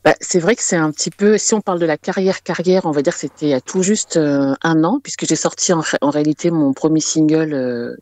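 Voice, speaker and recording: A woman's voice: sits low, a little fast, few pauses, darkish tone, dry recording. Young French woman, dry close sound. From France, Paris region. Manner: chatty storytelling. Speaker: interview guest, singer. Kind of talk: conversational interview answers.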